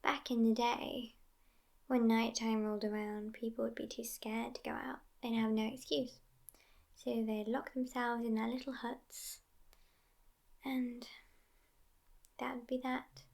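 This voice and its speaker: A woman playing a sassy, world-weary, centuries-old vampire girlfriend in a breathy, whispery ASMR roleplay, languid and disdainful, with thoughtful hums. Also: on the high side, level tone, unhurried, long pauses, darkish tone. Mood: bored, wistful.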